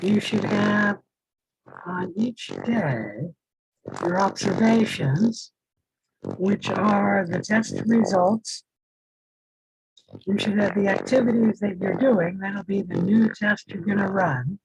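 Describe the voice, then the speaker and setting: A hoarse man teaching a lab class over a video call, halting with many fillers, his faulty microphone distorting his voice. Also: high voice, expressive, a little mellow, slight background noise. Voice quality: distorted mic that sounds like Darth Vader. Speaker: lab instructor. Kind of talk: online class lecture. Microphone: faulty computer mic on a video call.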